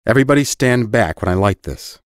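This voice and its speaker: A man playing a methodical mage teacher in an audiobook drama, instructing with measured authority. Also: medium pitch, animated, no echo, studio quality, natural voice. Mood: businesslike.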